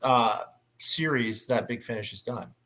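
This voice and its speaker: A man with a mid-pitched voice hosts a casual fan discussion. He is enthusiastic and talkative, pausing often as he gathers his thoughts and hands questions to the others. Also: fairly bright tone. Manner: enthusiastic, halting with frequent pauses. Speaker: panel host.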